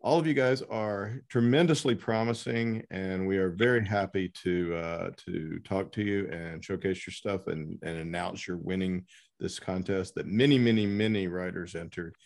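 A middle-aged man hosting a casual interview as an editor, talking in a rambling, halting way. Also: deep voice, gravelly, darkish tone. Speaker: podcast host, book editor.

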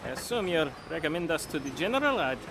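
An actor playing a cheeky, self-interested mercenary soldier with a wry manner in an audio drama. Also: male voice, a little high, big pitch swings, fast, noisy background. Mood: eager, angling. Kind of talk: full-cast audio drama acting.

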